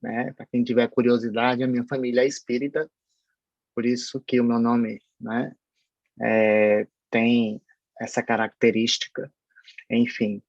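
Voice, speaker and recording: A lecturer from Paraíba with a Northeastern Brazilian accent, giving an online university class in a hesitant, explanatory way with many fillers and restarts. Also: male voice, medium pitch, flat delivery, a little slow, no room sound, lo-fi sound. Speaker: pharmacology lecturer.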